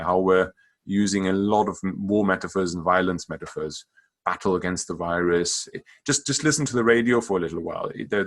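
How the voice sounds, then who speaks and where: A middle-aged man with a German accent, a palliative care doctor giving a conference talk, often halting and repeating words as he goes. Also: low-pitched, a bit bright.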